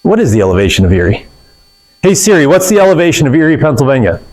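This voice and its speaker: A man's voice: a little high, very animated, quick pace, darkish tone, low-quality recording. A man teaching a physics class in a classroom, explaining in a casual way with fillers and self-corrections. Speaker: physics instructor. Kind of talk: classroom lecture.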